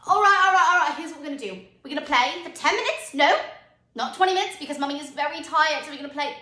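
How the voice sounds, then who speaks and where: A harried mother played by a woman pleads with her small children in a high, piercing voice. Her halting appeals break into sharp commands, theatrical and exasperated, ringing in a roomy space. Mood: weary, exasperated. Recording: roomy echoing sound.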